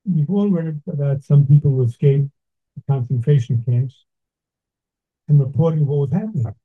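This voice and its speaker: An older man from Brooklyn, giving a slow, deliberate, reflective talk with pauses. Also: fairly high pitch, husky. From Brooklyn, New York. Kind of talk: measured reflective address. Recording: tinny phone-like sound.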